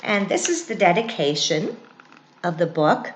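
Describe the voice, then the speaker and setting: A woman reading a picture book aloud in a teacherly way, pausing to explain things to children. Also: lowish voice, sing-song, a little hiss or hum. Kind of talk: read-aloud storytelling with informal explanations to children. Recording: echoey, roomy sound.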